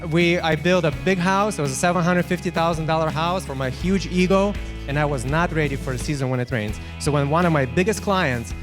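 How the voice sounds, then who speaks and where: A man from Ukraine with a Ukrainian accent giving a halting personal testimony, full of fillers and restarts. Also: on the high side. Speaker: testimonial guest. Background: guitar music underneath.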